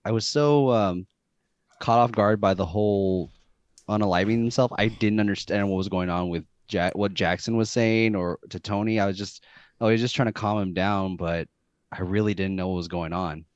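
A man hosting a casual podcast chat, talking haltingly with many fillers and restarts. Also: lowish voice, fairly even intonation, clear, resonant tone, no echo, lo-fi sound. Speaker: podcast host.

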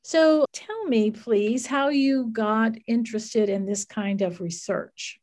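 A woman's voice: fairly high pitch, takes it slowly, darkish tone. A woman hosting a podcast, asking a guest friendly, curious questions. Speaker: podcast host. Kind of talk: podcast interview.

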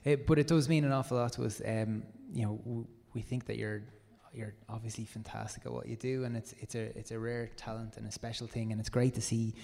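A man's voice: medium pitch, steady tone, brisk, vocal fry, few pauses, mellow. A man hosts the show in a wry, friendly emcee style, steering the questions and wrapping things up. His voice sits in the middle of the range and rings out with the long reverb of a hall. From Ireland. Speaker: podcast host. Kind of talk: hosting a live podcast Q&A.

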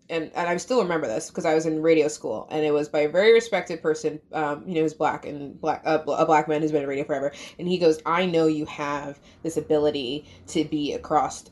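A woman from Albany, New York, hosting a podcast in casual conversation, rambling with lots of "like" fillers. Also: low-pitched. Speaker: podcast host.

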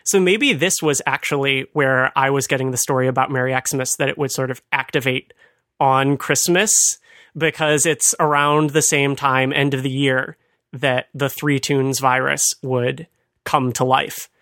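A man's voice: mid-range voice, fairly even intonation, unhurried, fairly bright tone, no room sound. A man co-hosting a conversational talk, explaining things with dry amusement. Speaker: podcast host. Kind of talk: conversational retro computing commentary.

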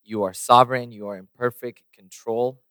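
A man's voice: mid-range voice, steady tone, normal speed, a bit bright, smooth, no echo, studio quality. A man preaching a sermon and praying, losing his voice a little, with many fillers and restarts. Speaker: pastor. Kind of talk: sermon with prayer.

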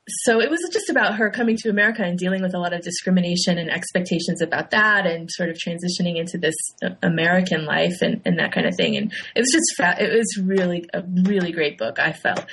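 A woman's voice: lowish voice, gravelly, breathy edge, poor audio. A woman talking thoughtfully in a casual discussion, hesitating with fillers as she works through her points. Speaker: panelist.

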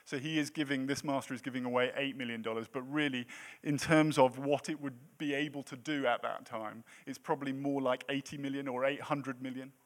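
A man's voice: mid-range voice, steady tone, fairly quick, few pauses. A man in his late thirties preaching a sermon in a church, with a self-deprecating, jokey manner between points. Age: 30s. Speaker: pastor.

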